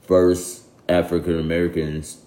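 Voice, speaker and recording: A man speaking as a guest on a casual podcast, with a flat, low-key delivery he himself calls monotone. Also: unhurried. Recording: echoey room with faint noise.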